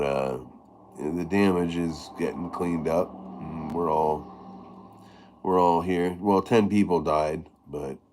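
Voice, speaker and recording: Middle-aged man, very low voice, unhurried drawl, halting casual chat, lots of ums and restarts. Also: darkish tone. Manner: rambling, halting, many fillers and restarts. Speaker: harmonica player giving tips. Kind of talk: casual live-stream chat.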